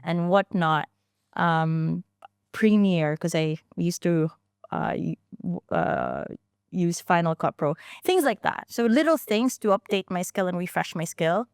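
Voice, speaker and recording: A woman in her thirties talks through her story in a relaxed interview, looping back with restarts and fillers as she goes. Her voice sits fairly low and sounds smooth and clear. The sound is close and dry. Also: a little slow, no echo. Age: 30s. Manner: chatty, many restarts and fillers, laughs easily. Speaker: founder and guest.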